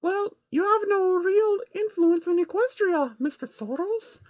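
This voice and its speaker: A man voicing a timid young female unicorn in a squeaky, hesitant, stammering falsetto. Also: animated, unhurried, dry recording, dull audio. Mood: sheepish, cautious.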